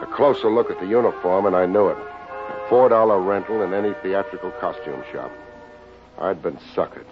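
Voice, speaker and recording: An actor playing a cocky, hardboiled private detective in an old-time radio drama, narrating and bantering in wry slang. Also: male voice, low voice, normal speed, close to an everyday voice. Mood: wry narration. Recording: muffled old radio recording with music.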